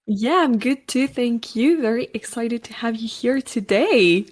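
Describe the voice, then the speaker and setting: A woman hosting a podcast interview, friendly and upbeat, reacting with quick short affirmations. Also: on the high side, animated. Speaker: podcast host. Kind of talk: casual interview conversation.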